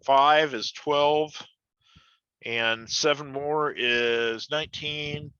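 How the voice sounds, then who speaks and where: Male game player, sharp forceful voice, casual chat, dry but slightly lo-fi recording. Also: a little high, steady tone, very slow, smooth, dry recording.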